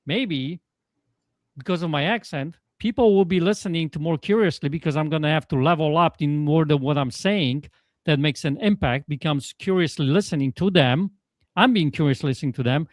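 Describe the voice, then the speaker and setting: Polish-accented man, higher voice. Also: normal speed, dry recording. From Poland. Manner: emphatic, keeps asking "guess what". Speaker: guest coach. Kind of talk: casual interview talk.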